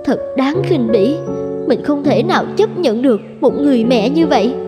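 A woman's voice: high voice, animated, normal speed, over loud music. A woman playing an earnest, emotive young nurse and mother, tender and sorrowful, in a cartoon drama. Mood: bitter, hurt. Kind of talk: dramatic cartoon dubbing in Vietnamese.

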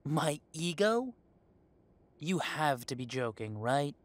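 A man's voice: fairly high pitch, very animated, takes it slowly. A man playing a young adult werewolf in an audio drama, an estranged heir who talks with sarcasm and indignation. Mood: indignant. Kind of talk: audio roleplay drama acting.

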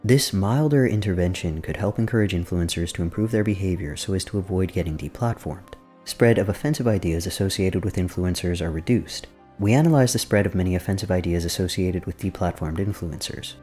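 A man reading a research summary aloud in a flat, formal way, over faint background music. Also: sits low, brisk.

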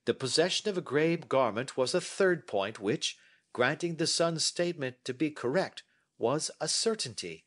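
A man's voice: a little high, animated, normal speed, fairly bright tone, slightly rough, pitched above the performer's own voice. A man reading as a brilliant, self-assured Victorian detective who explains his deductions precisely. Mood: lecturing.

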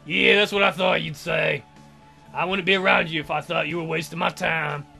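A man voicing a caring, practical young woman from a visual novel, gently scolding and teasing. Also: a little high, steady tone, moderate pace, gravelly, faint music behind, exaggerated voice. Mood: reassuring.

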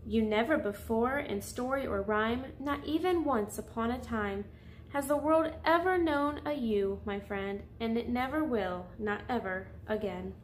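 A woman with a fairly high voice hosts a story time for children. She reads in picture-book narration style and addresses her young listeners directly. Faint background noise sits behind her voice. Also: a little slow, rough recording.

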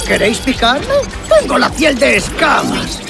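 An actor voicing a cocky, scheming crocodile villain in a cartoon, with gravelly, taunting, theatrical delivery. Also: high-pitched, lively intonation, measured pace, over loud music, full character voice. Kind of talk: animated cartoon dubbing.

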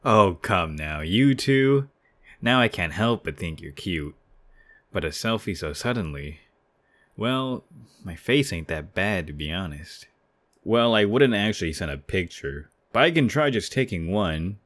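A man's voice: low voice, expressive, a little slow, a bit bright. A man voicing a self-conscious, defensive young male gamer in a manga dub. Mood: smug, bashful.

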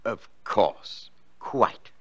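An actor playing a formal, probing family solicitor in a radio drama, speaking deliberately with polite hesitations. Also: male voice, bright, forceful tone, dull audio.

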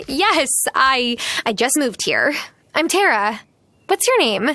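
High-voiced friendly young newcomer. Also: female voice, sing-song, slow, sharp tone, no echo, clean studio sound, full character voice. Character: friendly young newcomer woman, lightly wry. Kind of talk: video game acting.

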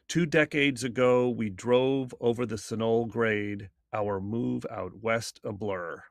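A man, a poet, introducing and reading his own work in measured, deliberate phrases. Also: medium pitch, creaky, fairly bright tone, no room sound, clean studio sound. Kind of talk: poetry recitation with spoken introduction.

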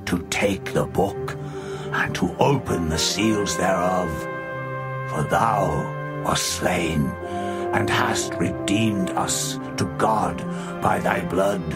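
A man voicing the elders before the throne, grave and measured over music. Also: low-pitched. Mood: reverent.

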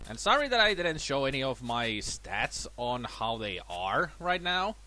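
A man with a cold and a slightly worn voice, casually commenting on his own boxing gameplay in a self-deprecating way. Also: measured pace. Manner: chatty, self-deprecating, lively swings in pitch. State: has a cold, voice a bit worn. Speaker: let's play gamer.

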